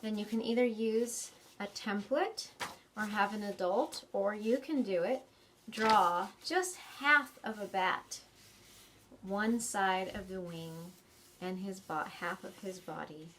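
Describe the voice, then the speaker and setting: A woman's voice: mid-range voice, slow, a bit bright, a little hiss or hum. A woman with an American accent hosting a preschool storytime, speaking simply and encouragingly to young children. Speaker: children's librarian, storytime host. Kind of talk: preschool storytime craft instruction. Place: indoors in a library.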